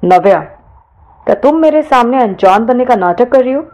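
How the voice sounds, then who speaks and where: A woman's voice: a little high, animated, normal speed, darkish tone, close to an everyday voice, low-quality recording. Serious college guy, done by a woman narrator, indignant, pressing with questions, audio story. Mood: angry, accusing. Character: young male college student, serious, indignant, accusing. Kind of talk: audio story character dialogue.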